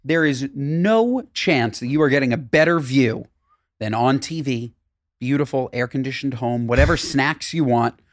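A man co-hosting a podcast in casual chat, playfully dramatic and animated. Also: medium pitch, normal speed, no room sound. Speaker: podcast co-host.

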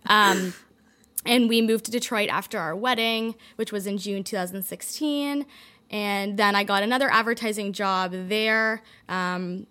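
A young woman with a Canadian accent, a guest telling her career story in a casual podcast chat, quick and full of likes and ums. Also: medium pitch, bright, forceful tone. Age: young adult. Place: indoor studio in a building.

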